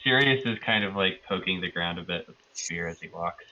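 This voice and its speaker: Low-voiced man, tabletop player, bright tone, halting casual narration, slightly dull recording. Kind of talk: casual improvised roleplay narration.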